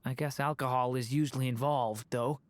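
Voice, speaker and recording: Gentle dragon-turned-boy, soft-spoken, earnest, deep for a woman. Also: fairly even intonation, a little fast. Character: gentle young boy who was once a dragon. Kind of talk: game voice acting.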